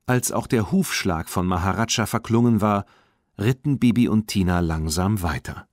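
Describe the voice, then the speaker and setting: A man's voice: on the low side, normal speed, no room sound, studio quality. A man with a standard German accent reading a children's audiobook as scripted narration.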